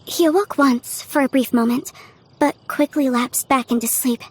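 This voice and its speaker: High-voiced, calm nursing sister. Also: female voice, normal speed, breathy edge. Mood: matter-of-fact. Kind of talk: video game character acting.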